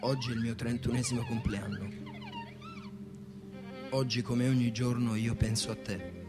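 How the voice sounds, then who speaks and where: A man's voice: mid-range voice, wildly swooping pitch, measured pace. Worn out young worker guy, devoted, stage drama style, music behind him. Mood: grave, reflective. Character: overworked young working man, weary and devoted. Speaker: stage actor. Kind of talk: poetic stage-theatre monologue.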